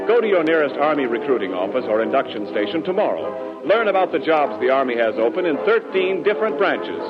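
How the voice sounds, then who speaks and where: A man working as a radio announcer, reading ads and announcements in 1940s radio style. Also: a little high. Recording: muffled 1940s radio broadcast.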